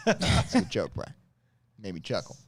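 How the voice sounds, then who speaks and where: A man's voice: fairly low pitch, wildly swooping pitch, normal speed, vocal fry. A man co-hosting a comedy talk show in casual, wry, joking banter.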